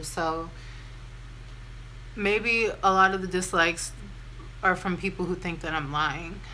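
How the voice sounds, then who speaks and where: A young American woman with a deep voice talks casually and candidly, in a self-deprecating, informal way, as a vlogger telling a story. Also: sharp tone, a little hiss or hum, rough recording. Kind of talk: casual chatty get-ready-with-me storytime.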